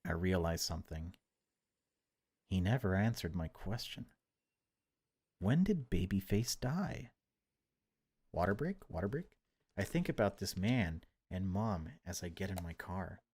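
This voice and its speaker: A man narrating a horror visual novel in a measured, unhurried way, with casual asides as a gaming video host. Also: low-pitched, expressive, slightly rough.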